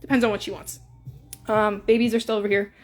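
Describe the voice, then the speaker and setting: A woman's voice: medium pitch, level tone, quick pace, faint music behind. A young woman with a Canadian accent chatting in a casual live stream, full of fillers and coos. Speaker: hamster breeder streaming live.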